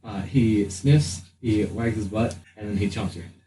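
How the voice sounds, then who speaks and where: A man working as game master, narrating a tabletop game in a halting, improvised way. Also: on the low side, mellow, recorded from a distance, faint music behind. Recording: echoey room, off mic, background noise.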